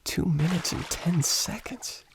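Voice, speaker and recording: A man's voice: sits high, a little slow, background noise. A man playing an awkward, smitten young American vacationer in a radio play, hesitant and self-effacing. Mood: impressed, sheepish.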